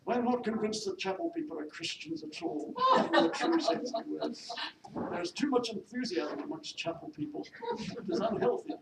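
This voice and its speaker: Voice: medium pitch, big pitch swings, moderate pace, vocal fry, pitched above the performer's own voice. A reader voicing a haughty, peevish widow of the minor gentry who scolds in formal, bossy speech, as in a period novel. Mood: indignant, scolding. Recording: noisy echoey room, distant mic.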